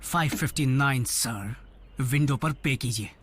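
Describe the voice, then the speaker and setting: A man's voice: mid-range voice, measured pace, very noisy, natural voice. An actor playing an awkward, wry teenage boy in a cartoon dub, casual and mixing in English words. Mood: bored, polite. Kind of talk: dubbed cartoon dialogue. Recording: music under the voice.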